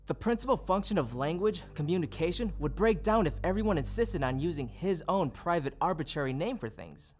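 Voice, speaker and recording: A woman's voice: lowish voice, expressive, brisk, few pauses, darkish tone, natural voice. A woman performing as a narrator in an instructional play, reciting quotes and explanations. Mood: explanatory. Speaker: readers theater narrator. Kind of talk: theatrical narration and quotation.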